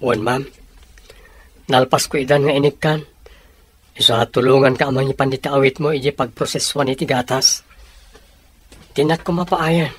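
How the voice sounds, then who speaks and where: An actor playing a polite, helpful young bachelor ranch hand in a radio drama, with a courteous, gentle manner. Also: male voice, medium pitch, fairly even intonation, normal speed, pauses often, slightly rough. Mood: polite, eager. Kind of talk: Ilocano radio drama acting. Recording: radio drama with loud music underneath.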